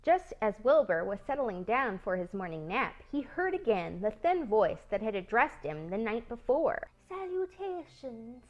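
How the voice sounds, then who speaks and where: A woman reading a children's book aloud as an expressive storyteller. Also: medium pitch, moderate pace, few pauses, highs cut off, soft background music. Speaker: storybook reader, channel host. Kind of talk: expressive scripted story narration.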